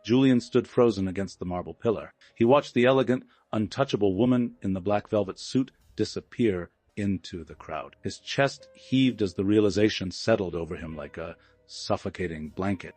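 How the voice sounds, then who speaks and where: A man's voice: on the low side, darkish tone, no echo. A man reading a dramatic story aloud as a scripted audiobook narrator.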